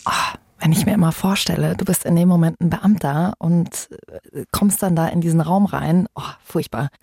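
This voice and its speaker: A woman's voice: fairly low pitch, moderate pace, gravelly, darkish tone, breathy edge, no room sound. A woman hosting a true-crime podcast in a conversational way, putting questions to the listeners. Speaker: podcast host.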